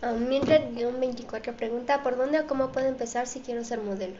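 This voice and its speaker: A woman's voice: a little high, steady tone, a little fast. A woman hosting an interview show, reading out audience questions and presenting to viewers. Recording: dull, echoey room with faint noise.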